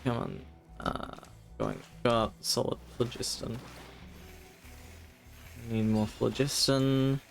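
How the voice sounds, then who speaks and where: A man's voice: mid-range voice, steady tone, takes it slowly, gravelly, long pauses. A man doing casual live gameplay commentary as a streamer, muttering and thinking aloud into a close mic. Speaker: game streamer. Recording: faint game music behind.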